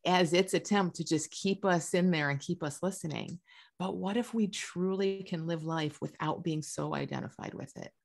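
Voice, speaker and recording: A woman in her forties with a slightly low voice talks casually on a video call. She hosts the event and thinks aloud in a conversational way, often asking questions and voicing imagined thoughts. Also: normal speed, creaky. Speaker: author hosting an online launch event. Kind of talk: casual unscripted talk answering questions. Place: indoor room on a video call.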